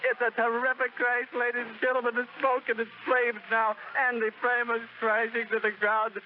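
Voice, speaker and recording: A man's voice: very high voice, monotone, moderate pace, narrow, tinny audio. A man reporting a disaster live on the radio, distraught and stammering with his voice breaking. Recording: scratchy 1930s radio recording, loud hiss.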